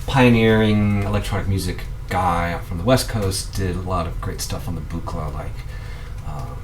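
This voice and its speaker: A man's voice: fairly low pitch, distant mic. A man hosting his own video, chatting casually and rambling as he shows the records he found. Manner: rambling, chatty, with many ums and restarts. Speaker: vlog host showing records. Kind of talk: casual show-and-tell. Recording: voice a bit off-mic, faint background noise.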